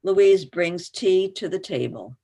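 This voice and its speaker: A woman's voice: on the low side, expressive, slow pace, warm tone. A woman reading stage directions as scripted narration for a play.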